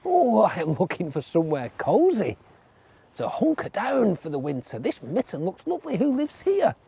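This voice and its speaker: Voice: medium pitch, huge pitch swings, moderate pace, vocal fry, dark tone, breathy edge, voice pushed up, exaggerated voice. A storyteller voicing a sly fox in a children's folk tale, sweet-talking the small animals. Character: sly fox creeping up, falsely friendly.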